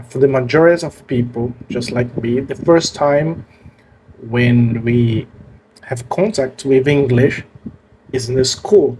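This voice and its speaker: Brazilian guy, portuguese accent, talks real slow with lots of uhs, bit of background hiss. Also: medium pitch, a little mellow, poor audio. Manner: hesitant, many fillers and restarts. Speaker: language vlogger. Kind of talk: casual talk to camera.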